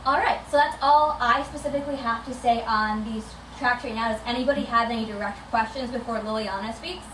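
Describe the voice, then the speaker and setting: A woman with a slightly high voice presents informally to a group. She sounds enthusiastic and conversational, picked up from some distance in a room with clear background noise. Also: brisk. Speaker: chapter director presenting. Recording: echoey noisy room, distant microphone.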